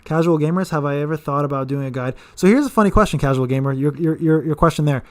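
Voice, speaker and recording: A man in his late thirties answering viewer questions on a casual live stream. His voice sits a little high for a man, and he rambles, stumbling over repeated words and filling gaps with "um" and "uh.". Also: quick pace. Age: 30s. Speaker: gaming channel host.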